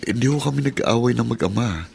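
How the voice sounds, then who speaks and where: A man's voice: mid-range voice, animated, a little fast, creaky, darkish tone, slight background noise. A man playing a worried father in a Filipino radio drama, speaking politely and in a subdued way.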